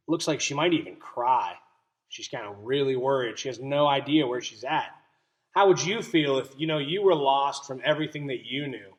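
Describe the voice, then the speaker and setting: A man reading a picture book aloud as a teacher, explaining and putting questions to young listeners. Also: medium pitch. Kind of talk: children's story read-aloud with questions to listeners.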